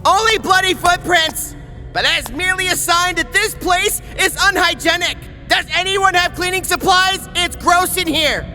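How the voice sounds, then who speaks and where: A man's voice: extremely high pitch, moderate pace, bright, forceful tone, exaggerated voice. A man voicing a nerdy, pompous, socially awkward teenage boy in an exaggerated anime parody. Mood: prim, uneasy. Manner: pompous, stammering, pedantic. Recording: music behind.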